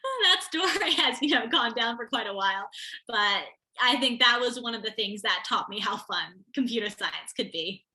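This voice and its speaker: An eager teenage girl answers interview questions in a very bright, clear voice. She speaks articulately and keeps a lively, enthusiastic tone, laughing now and then. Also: fairly high pitch, moderate pace. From America, Connecticut. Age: teens. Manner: eager, articulate, laughs while telling stories. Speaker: interview guest, student.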